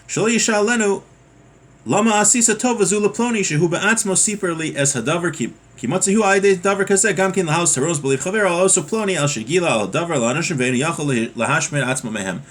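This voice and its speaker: A man's voice: high-pitched, quick pace, fairly bright tone. A man giving an informal lesson as a religious teacher, reading from a text and then explaining it with everyday examples.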